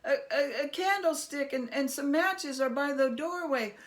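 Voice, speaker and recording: Woman playing a wily, high-voiced storybook trickster man. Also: moderate pace, natural voice. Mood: feigned timidity. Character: wily man feigning fright, cheeky and defiant.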